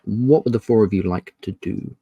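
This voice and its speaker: A man running a tabletop roleplaying game, narrating scenes in a measured, descriptive and polite way. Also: on the low side, warm tone, husky, dry recording, highs cut off. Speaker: game master.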